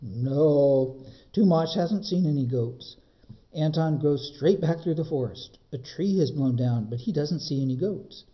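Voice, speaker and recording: A man reading a children's book as a librarian, in expressive narration with dramatic, measured phrasing. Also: a little high, muffled recording.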